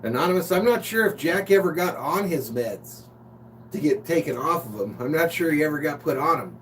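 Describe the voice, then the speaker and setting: An American man hosts a casual sports stream in a slightly high voice. He chats chummily with viewers and calls bits of the game. He sounds a little away from the mic, with a faint hum behind him. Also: lively intonation, brisk, a bit bright. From Los Angeles, United States. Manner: informal, chummy, reads out chat comments. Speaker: live stream sports host. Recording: distant mic, noisy hum.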